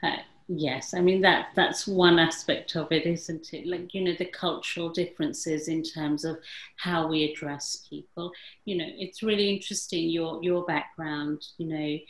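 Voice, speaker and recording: A woman's voice: sits low, steady tone, normal speed. A woman from England hosting a conversation, talking reflectively with lots of fillers and restarts. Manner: rambling, many fillers and self-corrections. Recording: echoey room sound.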